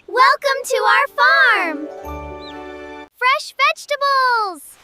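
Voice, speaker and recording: A performer voicing a cheerful, excitable little cartoon kid with a very high childlike voice, exclamatory and encouraging. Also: slow pace, heavily acted. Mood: delighted. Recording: children's music behind the voice.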